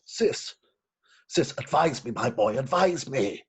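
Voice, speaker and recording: A man playing a pompous, apologetic gentleman in a staged reading of a Victorian farce, formal and hesitant. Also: mid-range voice, lively intonation, slow pace, breathy edge, full character voice. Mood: flustered.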